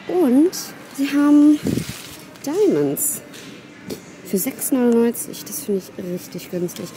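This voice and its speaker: Excitable young woman vlogging in a store. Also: medium pitch, poor audio. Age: young adult. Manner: excitable, chatty, often whispering asides. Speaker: plant vlogger. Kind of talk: casual shop-with-me vlog. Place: indoors, then a large garden center. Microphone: handheld phone camera.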